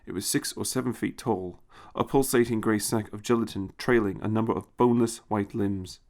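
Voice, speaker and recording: A man's voice: on the low side, fairly even intonation, a little fast, darkish tone. A man reading a short science fiction story as scripted dramatic narration.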